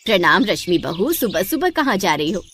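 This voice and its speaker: A woman's voice: mid-range voice, sing-song, moderate pace, a bit bright, a little hiss or hum, pitched below the performer's own voice. An older mother-in-law from a moral storybook tale, acted out by a woman narrator. She lectures emphatically, weighing up the young wives around her, and her voice drops under the narrator's usual tone. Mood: gushing approval. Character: older mother-in-law, preachy, admiring then scolding.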